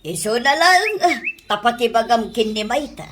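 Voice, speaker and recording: An actress playing an expressive middle-aged aunt who runs a restaurant, exasperated but fair, in a radio comedy drama. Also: female voice, on the high side, moderate pace, music in the background. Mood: explaining.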